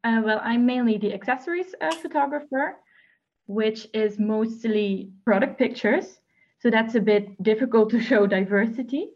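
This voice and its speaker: A woman chats as a guest, relaxed and plain-spoken with a few fillers. Her voice sits a bit high, and the sound is slightly dull and lo-fi. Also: moderate pace. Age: 20s. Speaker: photographer guest. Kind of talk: casual interview chat.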